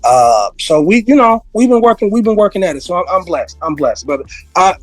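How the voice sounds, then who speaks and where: A hoarse man, a music industry veteran, talking as a podcast guest, rambling through stories, repeating himself and laughing often. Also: high voice, big pitch swings, brisk, no room sound. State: hoarse, losing his voice. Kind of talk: casual interview conversation.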